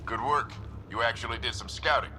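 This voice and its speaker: An actor playing a gruff, terse, authoritative older male squad leader in a video game cutscene. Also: mid-range voice, fairly even intonation, fairly quick, pushed and bright, slight background noise. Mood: calm authority.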